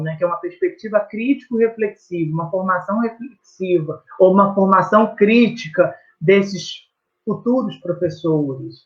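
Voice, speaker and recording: A man's voice: sits high, highs cut off. A man with a Brazilian accent, a teacher explaining his research in conversation, reflective and emphatic, often adding tag questions.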